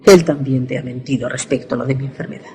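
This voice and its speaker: A woman's voice: extremely low pitch, fairly even intonation, fast talker, mellow. A woman playing the ghostly projection of a dead lover in a radio drama, earnest and solemn, loving and urgent. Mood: grave warning.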